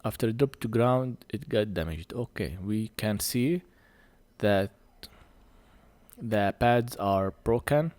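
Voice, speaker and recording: A man who speaks English as a second language gives a hands-on repair tutorial in halting short phrases, a little short of breath. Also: fairly low pitch, expressive, a little mellow, no echo. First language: not English. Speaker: phone repair technician presenting a tutorial.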